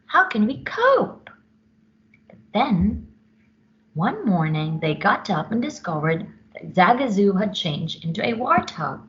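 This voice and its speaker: A woman reading a children's story aloud with expressive, dramatic emphasis. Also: lowish voice, a little slow, highs cut off.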